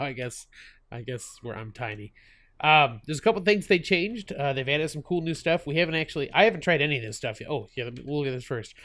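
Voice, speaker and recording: Chuckling stuffy-nosed gaming host. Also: male voice, mid-range voice, a bit bright, no room sound. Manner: chatty, laughs often, many fillers and restarts. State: stuffy from a cold. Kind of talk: casual gameplay commentary.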